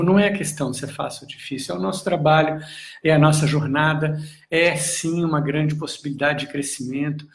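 A man, a breathing and meditation instructor, giving a reflective live talk with many pauses and restarts while answering viewer questions. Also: a little high. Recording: echoey room.

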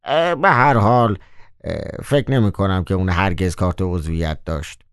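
A man's voice: deep voice, clear, resonant tone, no echo, studio quality. A man voicing a theatrical, mock-polite male trickster in an audiobook, drawling "ah" before his phrases. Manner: drawn-out, hesitant "ah" before phrases. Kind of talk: audiobook character voice.

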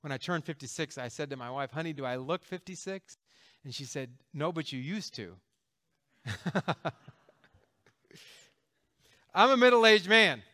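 A man's voice: on the high side, lively intonation, moderate pace, pushed and bright. A man in his fifties, a pastor delivering a conversational sermon with jokes and emphatic stresses. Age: 50s.

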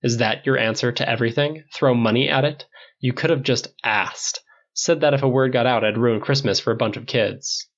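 A man voicing a dignified older man who speaks in a measured, dry, disapproving way. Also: mid-range voice, dull audio, natural voice. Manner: measured, stern.

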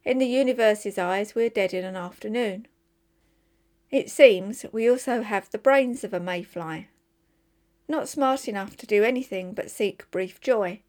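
A woman's voice: medium pitch, a little mellow. A woman volunteer reader reading a newspaper opinion column aloud for listeners.

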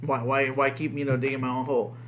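A man's voice: medium pitch, level tone, fast. Young guy on a podcast, swears constantly, full of likes and you knows, slight hum in back. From United States. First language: Spanish. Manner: profane, rambling, heavy on fillers. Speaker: podcast co-host. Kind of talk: casual podcast chat.

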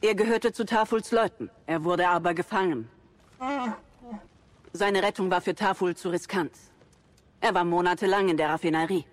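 A man acts a rebel fighter in a sci-fi audio drama. The voice is high, and the delivery is practical and matter-of-fact. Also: moderate pace, pauses often, natural voice. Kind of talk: German audio drama acting.

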